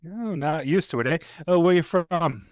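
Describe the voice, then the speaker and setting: An actor playing a chatty, folksy local sheriff's deputy in an audio play, telling things in a colloquial way. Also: male voice, on the high side, dry recording, studio quality, lightly acted. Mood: folksy, easygoing. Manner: folksy, colloquial, unhurried storytelling. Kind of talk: naturalistic audio drama dialogue.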